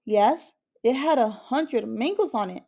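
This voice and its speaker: A woman's voice: on the high side, expressive, unhurried, vocal fry, warm tone, full character voice. A woman voicing an eager, earnest young boy in a storybook read-aloud.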